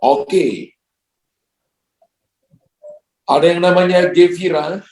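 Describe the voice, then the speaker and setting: A man's voice: high-pitched, unhurried, a little mellow, reverberant. A man, a pastor, praying prophetic words over callers and chatting with them in a joking, pastoral way. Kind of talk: prophetic prayer and ministry to callers.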